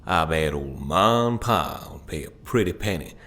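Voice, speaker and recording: An actor playing a greedy, threatening arms-dealing gang boss who taunts people, in an audio drama. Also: male voice, rumbling low, lively intonation, moderate pace, fairly bright tone. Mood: menacing.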